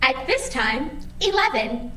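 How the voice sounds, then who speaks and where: An oblivious, cheerfully bureaucratic female computer in an audio drama. Her voice is high and bright, with animated swings, and her phrasing is precise and formal. It sounds roomy, over faint noise. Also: slow pace, far from the mic, exaggerated voice. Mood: chipper, informative. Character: chipper female computer host of a digital afterlife, oblivious and officious. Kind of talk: scripted audio comedy drama acting.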